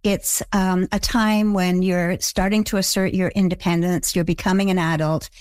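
A woman's voice: lowish voice, flat delivery, moderate pace, a little mellow, smooth, no echo. Thoughtful woman guest, dry close sound. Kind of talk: reflective interview answer.

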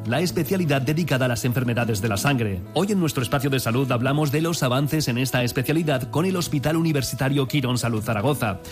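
Man reading a scripted health segment. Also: medium pitch. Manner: measured, read from script.

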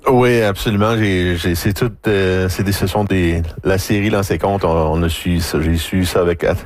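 A man with a very deep voice speaks on a radio panel. He is deliberate and repeats words as he searches for them. The sound is close and dry. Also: steady tone, no echo.

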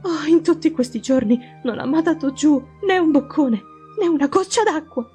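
A woman's voice: high-pitched, moderate pace, dark tone, soft background music, pitched above the performer's own voice, lightly acted. A woman playing a frantic old housekeeper. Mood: anxious pleading. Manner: urgent, pleading, breathless with worry. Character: anxious, frightened older woman housekeeper.

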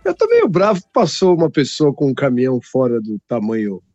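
A man's voice: fairly quick, dry recording, lo-fi sound. A Brazilian man hosting a radio music show from his home studio, chatting casually and joking as he introduces songs. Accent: Brazilian Portuguese. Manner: chatty, joking, lively pitch swings.

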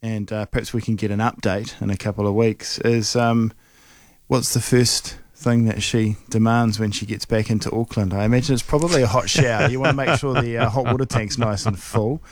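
A man, a psychotherapist on radio, explaining calmly with dry humor. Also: medium pitch, fairly quick, no echo. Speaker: psychotherapist guest. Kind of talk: calm explanation. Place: radio studio.

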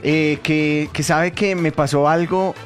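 A man's voice: fairly high pitch, steady tone, slow, faint music behind. A man with a Colombian accent hosting a radio show, telling anecdotes in casual chat and often repeating himself. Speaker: radio host. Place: radio studio.

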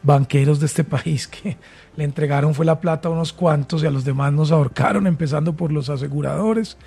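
A man's voice: on the high side, a little fast, vocal fry, dark tone, breathy edge. A man from Colombia with a Colombian accent, a former minister arguing as a candidate in a debate, emphatic and repetitive. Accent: Colombian Spanish. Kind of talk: political debate.

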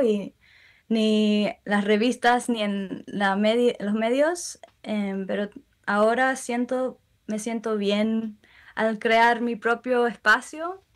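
Young woman, gentle interview guest, unhurried and reflective. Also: medium pitch. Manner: soft-spoken, thoughtful, with frequent pauses and fillers. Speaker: musician guest. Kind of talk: relaxed interview answers.

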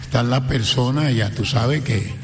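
A man's voice: medium pitch, unhurried. A man with a Dominican accent speaking as a guest singer in a radio studio, earnest and grateful, dwelling on blessings. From Dominican Republic. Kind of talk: radio interview.

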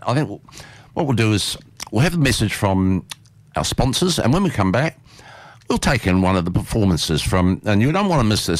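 Aussie guy on community radio, lowish voice, rambly folksy chat, super animated up and down. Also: no echo. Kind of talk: casual chat, interviewing a studio guest. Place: radio studio. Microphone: close studio microphone.

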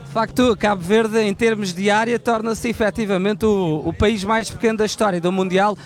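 A man reporting live from an outdoor fan gathering and chatting with the crowd on a handheld mic, lively and chatty. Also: high-pitched, normal speed, faint music behind. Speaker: field reporter.

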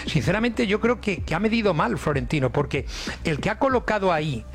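A man's voice: sits high, animated, brisk, soft background music. A man with a Castilian Spanish accent debating football as a pundit, emphatic and repeating words for stress. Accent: Castilian Spanish from Spain. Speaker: sports radio pundit.

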